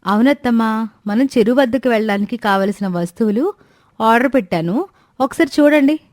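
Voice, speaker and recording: A woman's voice: on the high side, fairly quick, a little mellow, dry recording, studio quality, close to an everyday voice. A woman voicing an eager, naive young daughter-in-law in a cartoon moral story, confident and respectful as she explains. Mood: eager explaining. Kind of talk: animated Telugu moral story dialogue.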